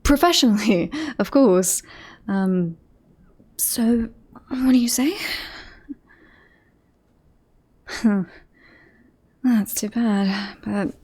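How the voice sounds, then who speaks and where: Woman acting a mellow, devoted yandere bodyguard. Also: mid-range voice, takes it slowly, long pauses, breathy edge. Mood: coaxing, smitten. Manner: polite, reassuring, professional patter with awkward slips into hushed intimacy. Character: devoted yandere bodyguard woman, composed front over creepy obsession. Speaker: voice actor in ASMR roleplay. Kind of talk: ASMR roleplay, scripted.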